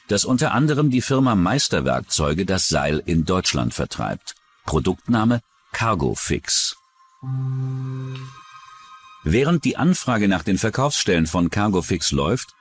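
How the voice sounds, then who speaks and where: A man with a deep voice narrates a true-crime documentary. His scripted delivery is dramatic and melodically varied, with music underneath.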